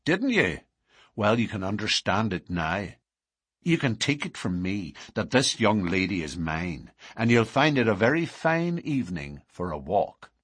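A young male villain from a storybook, acted by a male reader. He is savage and domineering, with a husky, lively-pitched voice and a curt, menacing delivery. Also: measured pace, dry recording, heavily acted. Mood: threatening.

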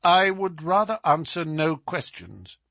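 A man playing a composed, amiable gentleman detective, polite and formal, in a storybook reading. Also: fairly high pitch, very animated, a little slow, vocal fry, dry recording, clean studio sound, pitched above the performer's own voice, exaggerated voice. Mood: polite, guarded. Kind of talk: dramatised audiobook reading.

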